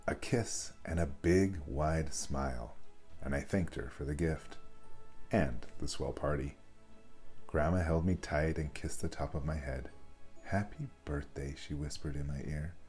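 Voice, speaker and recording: Very deep-voiced man reading a bedtime story. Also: unhurried, soft background music. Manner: expressive, dramatic storytelling. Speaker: storybook reader. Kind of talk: scripted children's bedtime story narration.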